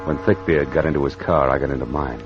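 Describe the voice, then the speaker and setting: An American actor playing a wry, hard-boiled private detective, narrating vintage radio noir with sardonic punch. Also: male voice, a bass voice, fairly even intonation, fast. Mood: tense, dry. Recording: muffled vintage radio, music behind.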